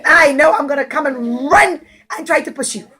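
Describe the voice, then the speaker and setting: A woman's voice: high-pitched, normal speed, crowd noise, close to an everyday voice. A woman voicing a boastful rich man in a children's story, who brags and then strains and yelps. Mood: strained, laughing. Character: boastful rich man struggling with his cow.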